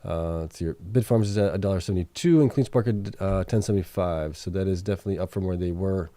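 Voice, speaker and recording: A man's voice: low-pitched, fast talker, warm tone, no room sound. A man hosting a late-night livestream, talking through financial results and viewer questions. He thinks aloud, with many fillers and self-corrections, and is starting to lose his voice. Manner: reads out viewer chat questions. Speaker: livestream host. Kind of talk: casual livestream chat reading financial results.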